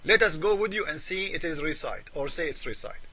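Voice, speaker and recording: A high, bright-toned man with Arabic as his first language, speaking English and arguing a point straight to his viewers. He presses hard with rhetorical questions and scornful jabs, keeping the tone combative throughout. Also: expressive, fairly quick. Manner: emphatic and mocking, fires rhetorical questions. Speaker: religious debate commentator. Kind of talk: argumentative commentary to the viewer.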